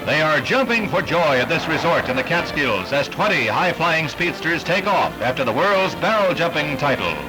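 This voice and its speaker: Male American newsreel announcer, 1960s style. Formal and declamatory, slightly high-set voice, music running strongly underneath. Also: poor audio. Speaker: newsreel narrator. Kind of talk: scripted 1960s newsreel narration. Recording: vintage 1960s newsreel soundtrack. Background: orchestral newsreel music.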